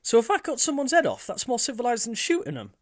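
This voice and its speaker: An actor playing a flippant, irreverent young mercenary chancer, bantering in an audio drama. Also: male voice, very high voice, huge pitch swings, quick pace, exaggerated voice. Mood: eager, chatty.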